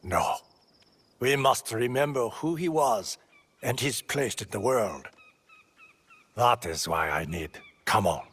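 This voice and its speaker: A scholarly scribe of feudal Japan in a game. His husky, mid-pitched voice is courteous, formal and earnest. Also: animated, slow pace, long pauses, a bit bright, full character voice.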